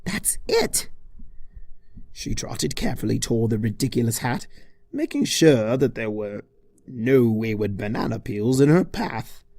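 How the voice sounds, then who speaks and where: Comic audiobook narrator: arch, theatrical delivery, swooping intonation, mid pitch, dry recording. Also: creaky, a little mellow, no room sound. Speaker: fan fiction narrator.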